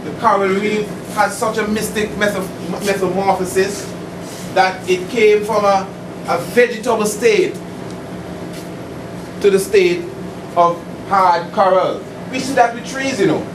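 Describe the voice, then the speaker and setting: A man's voice: high-pitched, steady tone, unhurried. A man giving an informal esoteric lecture as a preacher, emphatic and didactic, repeating points and asking rhetorical questions. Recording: distant mic, echoey room, noisy hum.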